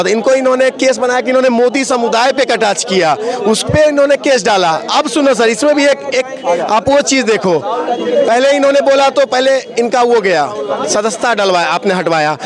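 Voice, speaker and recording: A young man, a student protester, making a fiery, accusatory case to a reporter, repeating his points for force. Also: very high voice. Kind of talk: impassioned protest speech to a reporter. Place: outdoor street protest. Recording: outdoor crowd noise behind.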